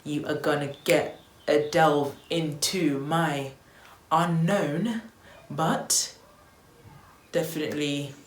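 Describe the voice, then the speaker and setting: Young woman from Tanzania, casual upbeat vlogger, low-pitched, slight room echo. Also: slow, pauses often. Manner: chatty and upbeat, loose with fillers like "um" and "you know". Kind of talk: casual vlog chat to camera. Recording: echoey room with faint noise.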